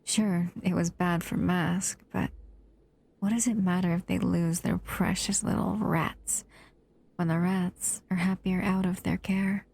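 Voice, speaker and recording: A woman acts out a doting, possessive female monster in an intimate audio roleplay. Her voice is lowish and gravelly with fry, often breathy and close to a whisper. She takes her time, lingering softly over her words as a protective lover would. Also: flat delivery. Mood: cozy, intimate. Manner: tender, unhurried, doting murmur.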